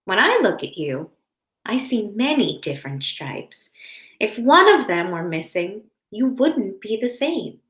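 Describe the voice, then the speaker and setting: A woman reading the part of a kind, reassuring storybook mother who speaks tenderly and deliberately to her child. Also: medium pitch, big pitch swings, fairly bright tone. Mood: reassuring, loving. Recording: roomy, echoing indoor sound.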